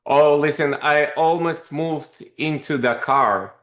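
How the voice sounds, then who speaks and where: Guy telling stories on a podcast, animated, rambly, echoey room. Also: a little high, slow pace. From Russia. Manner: animated, rambling with many fillers. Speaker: podcast guest, company founder. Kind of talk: casual interview storytelling.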